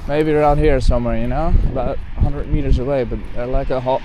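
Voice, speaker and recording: A Dutch-accented man talks in a loose, wandering way as he strolls through open countryside. He restarts sentences, corrects himself and fills gaps with "like" and "um." His pitch sits at an ordinary middle level, and faint outdoor sounds with the odd passing car drift in behind him. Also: fairly even intonation, fast, background noise. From Netherlands. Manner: rambling, self-correcting, lots of "like" and "um". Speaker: bird photographer vlogger. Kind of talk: casual rambling walk-and-talk. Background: faint outdoor ambience with passing cars.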